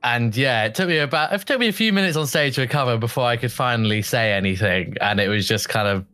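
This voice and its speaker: A young man talks as a guest on a podcast, telling his story in a loose, chatty way. He laughs easily and acts out what he said to himself. His voice sits at a middle pitch, and the recording is dry and close. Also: fast, pushed and bright, no room sound. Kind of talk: casual storytelling interview.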